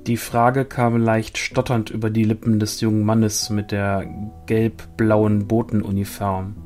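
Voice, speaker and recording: A man calmly and measuredly reading a fantasy short story aloud as narrator. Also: lowish voice, measured pace. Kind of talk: scripted storytelling. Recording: soft guitar music behind.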